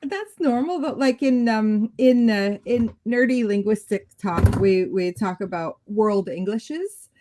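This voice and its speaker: A woman's voice: medium pitch, unhurried, few pauses, background noise. A woman co-hosting a live-stream chat, chiming in with brief reactions and academic asides.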